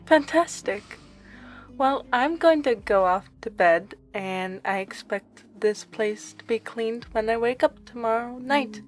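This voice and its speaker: A woman's voice: a little high, unhurried, soft background music, lightly acted. A woman playing a refined, wry vampire princess in an ASMR roleplay, with dry and politely formal delivery. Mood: weary, exasperated.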